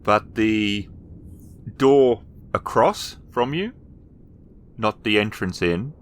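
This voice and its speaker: A man with a low, deep voice runs a tabletop roleplaying game as storyteller. He describes each scene piece by piece, pausing mid-sentence as he improvises. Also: animated, a little hiss or hum.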